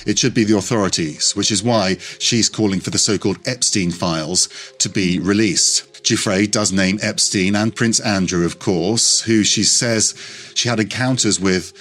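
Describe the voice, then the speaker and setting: Guy reporting the news, lowish voice, measured and grave. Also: fairly bright tone.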